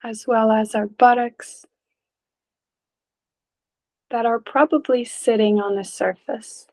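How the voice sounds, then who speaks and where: A woman leading a guided meditation in a gentle, calm, unhurried way, leaving long pauses between short phrases. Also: fairly high pitch. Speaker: meditation teacher.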